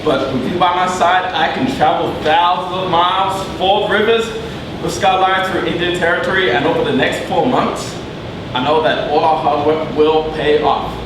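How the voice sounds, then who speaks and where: A man's voice: a little high, fairly even intonation, moderate pace, natural voice. A man playing an earnest, easily worried pioneer father in a comedy sketch, declaiming like old-timey melodrama. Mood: hopeful. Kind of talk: comedy sketch staged reading. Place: small theater stage. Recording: echoey, noisy theater from far off.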